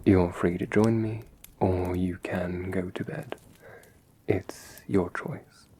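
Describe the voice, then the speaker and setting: A man playing a courteous, brooding young vampire in an ASMR roleplay, speaking in a measured, formal, reassuring way. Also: low-pitched, fairly even intonation, mellow, a little hiss or hum. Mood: softly reassuring.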